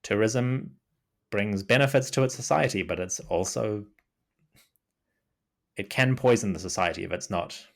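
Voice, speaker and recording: Kiwi guy, really low voice, thinking out loud on a podcast call, lots of restarts. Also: a little slow, creaky, pauses often. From New Zealand. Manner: thoughtful, restarts often, lots of "you know". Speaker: podcast guest, Egyptology podcaster. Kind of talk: casual interview talk. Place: remote call.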